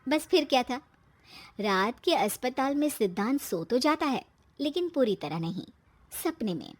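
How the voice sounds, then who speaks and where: A woman's voice: high-pitched, lively intonation, normal speed. A woman reading scripted narration as the narrator of a story.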